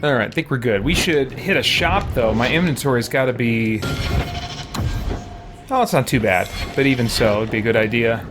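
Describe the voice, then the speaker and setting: A man's voice: medium pitch, moderate pace. A man giving casual livestream commentary on a game, thinking aloud in a hesitant, reflective, rambling way. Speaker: game streamer. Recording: music behind the voice.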